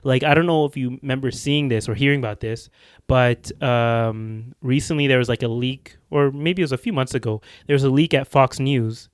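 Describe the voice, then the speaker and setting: A man's voice: mid-range voice, smooth, dry recording. A young man co-hosting a casual podcast, teasing at times and thoughtful at others. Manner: joking, then thoughtful and measured. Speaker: podcast co-host.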